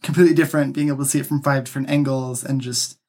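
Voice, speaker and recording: A man's voice: medium pitch, fairly even intonation, very fast, a little mellow. A young man, a guest on a podcast, chatting in an easygoing way. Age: young adult. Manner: easygoing, frequent "yeah" and "mm-hmm". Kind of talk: casual interview chat.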